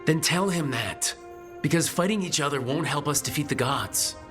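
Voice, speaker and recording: An actor playing a steady, encouraging leader of a band of heroes in a video game. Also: male voice, a little high, normal speed, soft background music.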